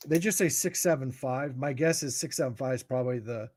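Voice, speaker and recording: A middle-aged American man giving informal explanations in a halting way, as if thinking aloud. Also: medium pitch, darkish tone. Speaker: guest radio expert.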